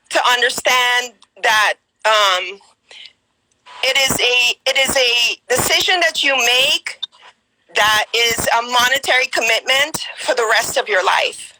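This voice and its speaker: Earnest Guyanese woman, bright voice. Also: on the high side, low-quality recording. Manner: earnest, hesitant, many ums and restarts. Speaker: caller on a live stream. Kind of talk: casual personal testimony.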